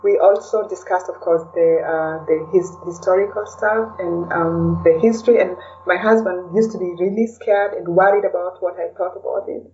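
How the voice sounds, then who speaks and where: Kenyan woman chatting in an interview, soft dark tone, thoughtful and halting, dull recording a bit far from the mic. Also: lowish voice, steady tone. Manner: reflective, hesitant, often adding "you know". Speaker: podcast guest, blogger and podcaster. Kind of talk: conversational interview answers. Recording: distant mic, dull lo-fi audio.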